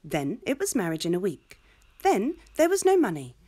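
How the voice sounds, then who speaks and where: Woman playing a fed up young victorian fiancée, sarcastic and sharp, voice swings up and down, audio drama. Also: mid-range voice, huge pitch swings, moderate pace. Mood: exasperated. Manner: sarcastic, biting. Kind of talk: period audio drama acting.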